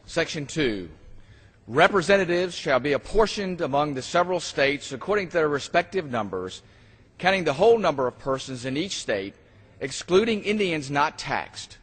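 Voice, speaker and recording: Husky man reading in a reverberant hall. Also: a little high, very animated, normal speed, a bit bright. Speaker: member of Congress. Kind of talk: formal reading aloud of a legal document. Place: large indoor legislative chamber. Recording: reverberant large legislative chamber.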